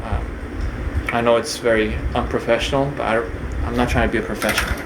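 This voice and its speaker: Young man from Korea, casual unscripted talk, lots of ums and restarts, even mid pitch, steady hum behind. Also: fairly quick. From Korea, raised partly in New Zealand. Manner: hesitant, many fillers and restarts. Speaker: video creator.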